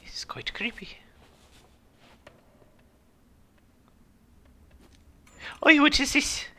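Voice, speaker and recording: A man's voice: extremely high pitch, a little fast, in a whisper. A man voicing a blustery, theatrical pirate captain who spins adventure tales in exclamatory old seafaring talk. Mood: eerie wonder. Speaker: storyteller and host. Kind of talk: in-character adventure game narration for children.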